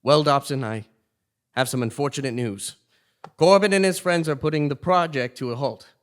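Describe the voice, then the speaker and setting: A man's voice: mid-range voice, normal speed, close to an everyday voice. An actor playing a well-meaning, exasperated new king in a comic stage play, earnest and theatrical. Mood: earnest, incredulous. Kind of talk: live absurdist comedy stage acting.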